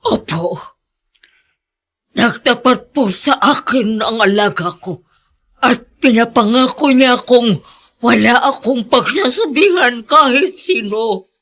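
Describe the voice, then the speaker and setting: An actress playing an earnest older nursemaid with a Filipino accent, revealing a painful secret in a radio drama. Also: female voice, on the high side, lively intonation, takes it slowly, fairly bright tone, heavily acted. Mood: sorrowful, earnest.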